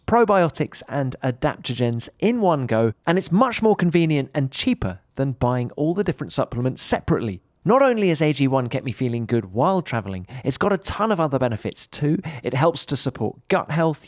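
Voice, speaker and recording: A British man with a fairly high, animated voice presents a podcast. His pitch moves around freely, and the sound is close and dry. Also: no room sound. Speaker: podcast host. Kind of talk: podcast chat and sponsor read.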